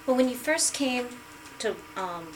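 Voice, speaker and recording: A woman interviewing a man, giving short backchannels and asking gentle questions. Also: fairly high pitch, expressive, unhurried, background noise. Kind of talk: casual interview.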